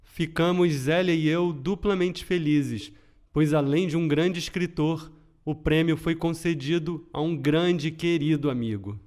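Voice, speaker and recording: A middle-aged man with a Brazilian accent, hosting a podcast in an earnest, measured reading style. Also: fairly high pitch, darkish tone. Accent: Brazilian Portuguese. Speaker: podcast host. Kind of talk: scripted podcast narration.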